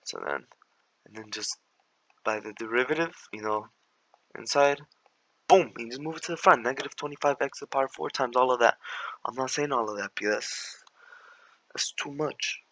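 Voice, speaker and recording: A young man with a raspy voice, walking through calculus problems in a slangy, laid-back way and sometimes mumbling steps under his breath. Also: medium pitch, normal speed, long pauses, bright, forceful tone, low-quality recording. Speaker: math tutor. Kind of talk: casual tutoring walkthrough.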